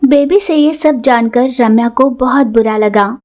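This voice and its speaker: A woman's voice: fairly high pitch, a little slow, darkish tone. A woman narrating a scripted moral story for children as a storyteller.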